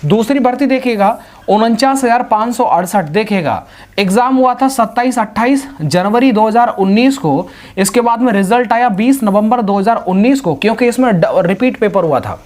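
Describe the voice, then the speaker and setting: A man's voice: sits high, animated, moderate pace, darkish tone. A man explaining things informally to students as a coaching teacher, repeating points and often directing the listener's attention. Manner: repetitive, instructive, with frequent verbal pointers to the listener.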